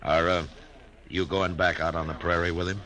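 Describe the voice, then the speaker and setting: A man playing a steady, laconic frontier marshal in an old radio western, slow and hesitant with many pauses. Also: deep voice. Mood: probing. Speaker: narrator and lead character. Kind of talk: 1950s radio western acting.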